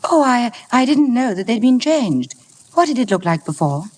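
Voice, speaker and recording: An actress playing a shy, nervous, earnest young bride in a vintage radio drama. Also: female voice, fairly high pitch, very animated, normal speed, a little mellow. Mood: timid, polite. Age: young adult. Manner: hesitant, stammering, earnest. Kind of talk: 1950s radio drama acting with narration. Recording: vintage radio recording with background music.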